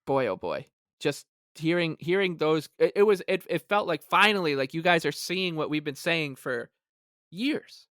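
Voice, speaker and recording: A man co-hosting a sports podcast, chatting casually in a halting, gleeful, excitable way. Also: a little high, no room sound, studio quality. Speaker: podcast co-host. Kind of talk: casual hockey fan chat.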